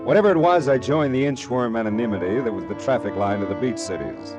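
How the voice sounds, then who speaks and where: A man's voice: medium pitch, animated, fast, over music, close to an everyday voice. A man playing a wry, world-weary American private detective in a 1940s radio drama, speaking in terse, punchy phrases. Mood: wry, leisurely. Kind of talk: 1940s radio drama acting, first-person narration.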